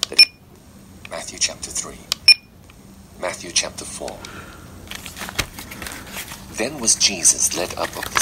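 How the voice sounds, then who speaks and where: A man reading scripture as a measured, solemn audiobook narrator, played through a small device speaker. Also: low voice. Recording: small speaker re-recorded, loud noise.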